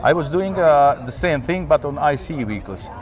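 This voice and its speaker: A man's voice: a little high, expressive, normal speed, a little mellow. A man answering interview questions as an engineer, explaining earnestly in short fragments. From Croatia. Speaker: engineer, repair company guest. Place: outdoor event stand.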